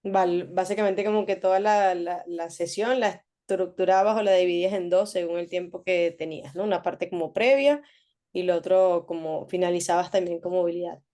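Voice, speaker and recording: A woman's voice: fairly low pitch, fairly quick, mellow. A woman coach interviewing a client, asking friendly prompting questions and rephrasing each one several times. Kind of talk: casual interview.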